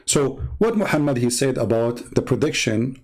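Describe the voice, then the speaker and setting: Male host, non-native English, mid-pitched; sarcastic religious commentary, leaning on repetition and pointed rhetorical questions. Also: expressive, normal speed. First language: not English. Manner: emphatic, repeats words for effect. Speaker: host of a religious debate show. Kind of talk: mocking commentary on read-out religious texts.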